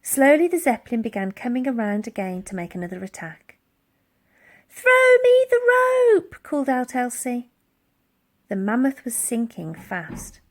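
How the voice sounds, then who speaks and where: A woman reading a children's story aloud in an expressive, dramatic storytelling style. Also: a little high, moderate pace. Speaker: audiobook reader.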